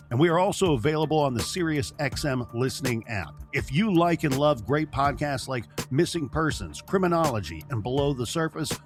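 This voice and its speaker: A man hosting a podcast, reading a scripted promo in an upbeat way. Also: medium pitch. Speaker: podcast host. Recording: voice over music bed.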